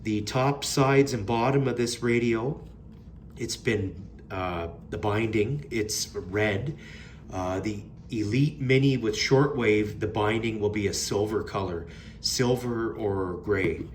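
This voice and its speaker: A man's voice: lowish voice, slow, a little hiss or hum. A man giving a casual, unscripted product review in a plain-spoken, mildly critical way. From Canada.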